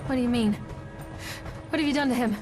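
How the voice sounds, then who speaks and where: A woman's voice: fairly high pitch, a little fast, lightly acted. An actress playing a bitter, frayed young single mother in a video game, speaking in a brooding, confessional dramatic manner. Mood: wary, accusing. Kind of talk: first-person dramatic game narration and dialogue. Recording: music behind the voice. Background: background music.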